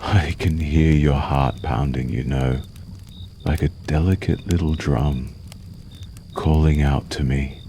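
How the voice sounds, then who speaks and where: Very deep, slow vampire lover acted by a man. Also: steady tone, warm tone, slight background noise, full character voice. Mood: seductive, hungry. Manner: slow, soothing, menacingly tender, lingering pauses. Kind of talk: ASMR roleplay, intimate storytelling.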